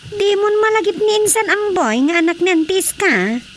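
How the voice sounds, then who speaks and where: A woman's voice: very high-pitched, big pitch swings, normal speed, a little hiss or hum. A woman playing a chatty, teasing village daughter in a Filipino radio drama comedy, speaking expressively.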